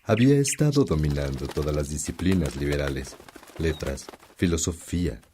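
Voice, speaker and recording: Deep, fatherly priest Simpliciano. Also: animated, moderate pace, mellow, a little hiss or hum. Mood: fatherly, admiring. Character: Simpliciano, fatherly, learned elder priest giving counsel. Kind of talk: Spanish-language religious radio drama acting.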